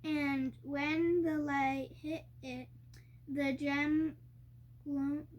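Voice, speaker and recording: A young girl reading a storybook aloud haltingly, sounding out and stumbling over harder words. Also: high-pitched, flat delivery. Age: child. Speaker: young reader co-hosting a family reading video.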